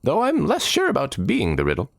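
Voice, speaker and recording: A man's voice: fairly high pitch, huge pitch swings, brisk, vocal fry, fairly bright tone, no room sound, studio quality, voice pushed up, heavily acted. A man voicing an eccentric, mischievous mage in a fantasy story, playful and wry, savouring his words. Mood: amused, wry.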